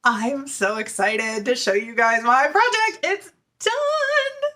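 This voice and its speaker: Woman, 40s, knitting podcast host, casual chat to camera, giggly, bright voice. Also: high voice, lively intonation, slow pace. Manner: chatty and giggly, with many fillers. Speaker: middle school teacher.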